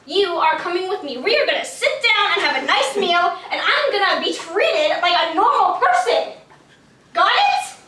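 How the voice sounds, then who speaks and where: Commanding female celebrity, played by a woman, exasperated and emphatic, bright piercing voice on a distant stage mic. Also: very high voice, big pitch swings, normal speed, exaggerated voice. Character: bossy, exasperated famous woman, determined to seem harmless. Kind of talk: stage play acting. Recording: echoey stage recorded from afar.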